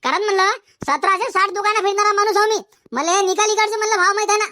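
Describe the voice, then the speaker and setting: A performer voicing a haggling, bantering cartoon chilli-market trader, squeaky and folksy, in comedic dialogue. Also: fast talker, sharp tone, no echo. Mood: needling banter. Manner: folksy, insistent bargaining. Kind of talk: comedic cartoon dialogue in colloquial rural Marathi.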